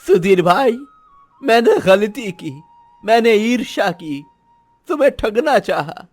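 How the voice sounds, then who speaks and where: A man's voice: high-pitched, very animated, takes it slowly, faint music behind, heavily acted. A man playing an emphatic, melodramatic vegetable seller in a moral-story cartoon. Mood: pleading. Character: scheming, jealous male vegetable seller, later remorseful.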